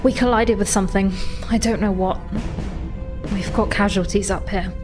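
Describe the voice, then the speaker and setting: A woman's voice: mid-range voice, steady tone, moderate pace, music in the background, natural voice. A woman playing a grave, commanding starship captain in a sci-fi audio drama. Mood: urgent, tense. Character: resolute female starship captain, grave and commanding under crisis.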